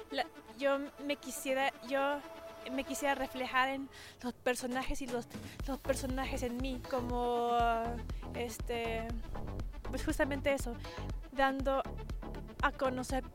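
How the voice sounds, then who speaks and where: A young woman, an illustrator answering interview questions in a rambling way with many restarts. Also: sits high. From Mexico and the UK. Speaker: illustrator guest.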